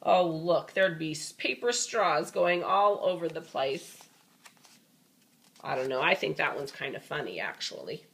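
A woman reading a storybook aloud to a child in an expressive, folksy, playful way with chatty asides. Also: low voice, a little slow, creaky.

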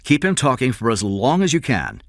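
A man voicing a blunt, angry police detective in an audiobook, forceful and accusing. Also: medium pitch, wildly swooping pitch, fairly quick, no echo, studio quality, pitched above the performer's own voice. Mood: urgent.

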